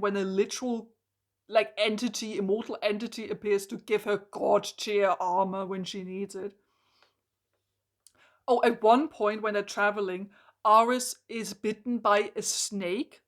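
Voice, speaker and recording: A hoarse woman reviewing a book in an exasperated, emphatic rant, full of "like" and restarts. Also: medium pitch.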